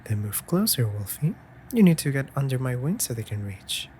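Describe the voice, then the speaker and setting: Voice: medium pitch, sing-song, moderate pace, a little hiss or hum, close to an everyday voice. A performer playing a playful, affectionate raven familiar in an intimate comfort audio roleplay, with teasing, mock-offended delivery. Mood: drowsy, teasing. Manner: teasing, mock-offended, breaking into soft murmurs.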